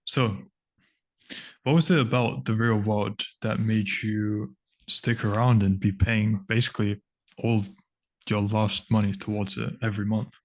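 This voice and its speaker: A male interviewer asking a guest a question in a low-key way. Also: flat delivery, a little slow.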